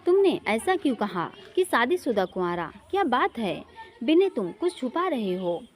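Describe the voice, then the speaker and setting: A woman's voice: a little high, very animated, normal speed. A woman voicing a caring young woman, a concerned old friend who questions insistently.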